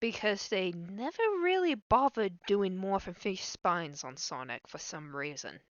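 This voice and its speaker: Slow-talking woman getting over a cold. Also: medium pitch, animated, fairly bright tone, no echo, muffled recording. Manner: eager fan, laughs often, quick asides. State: recovering from a cold. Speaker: host of a watch-along. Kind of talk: casual chat.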